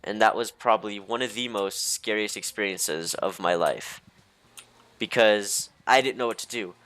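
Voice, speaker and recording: A young man telling personal stories in a casual, rambling way, halting and easily sidetracked, leaning heavily on filler words like "like". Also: on the low side, a bit bright, no room sound. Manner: hesitant, halting, easily distracted, heavy use of "like". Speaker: storyteller.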